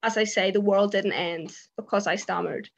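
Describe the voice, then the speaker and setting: A woman's voice: medium pitch, steady tone, moderate pace, gravelly. A British woman on a panel who stammers, talking casually with many fillers and repeated words. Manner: stammers, frequent fillers and repeats, laughs between points.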